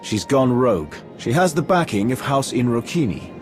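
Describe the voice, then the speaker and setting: Man playing a formal, expressive aristocratic envoy. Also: mid-range voice, lightly acted. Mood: calculating. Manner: formal, measured, diplomatic. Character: courteous, calculating male alien aristocrat and military envoy. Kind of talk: cinematic video game dialogue. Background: music.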